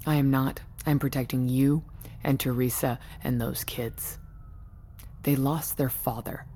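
An actress playing a controlling, weary tech company boss in an audio drama, guarded and persuasive, speaking in a measured, deliberate way. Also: female voice, very deep voice, steady tone, dark tone, a little hiss or hum. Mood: firm, pleading. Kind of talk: naturalistic audio drama acting.

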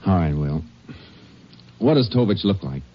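An actor playing a calm, shrewd Texas Ranger in a 1950s radio western, speaking in a terse, matter-of-fact way. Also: male voice, deep voice, a little slow. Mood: terse, probing. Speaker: lead actor and narrator. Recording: dull vintage 1950s radio recording.

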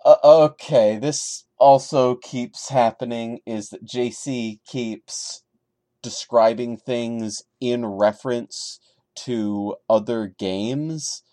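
Mellow male podcaster, dry close recording. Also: mid-range voice, steady tone, takes it slowly, no echo. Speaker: podcast host. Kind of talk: dramatic reading of a horror story with mocking commentary.

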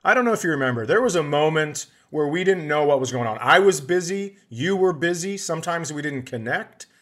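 A man's voice: fairly high pitch, animated, fairly quick, a bit bright. A man hosting a podcast with casual, sarcastic comedic banter. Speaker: podcast host.

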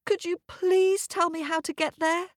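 A woman's voice: extremely high pitch, level tone, measured pace, dry recording, clean studio sound, voice pushed up. A woman voicing a timid young girl on a quest, who speaks eagerly and stammers breathlessly.

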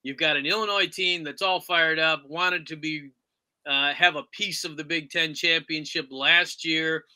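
A man hosts a sports talk interview in a very bright, forceful voice. His tone is clear and resonant, pitched slightly higher than most men. He sets up questions for a guest. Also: fairly even intonation, a little slow. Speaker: podcast host.

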